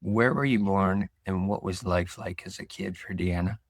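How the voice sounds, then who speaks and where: A man hosting a podcast interview, speaking in a relaxed, reflective way with filler pauses. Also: low-pitched, dry recording, studio quality. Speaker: podcast host. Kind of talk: casual interview.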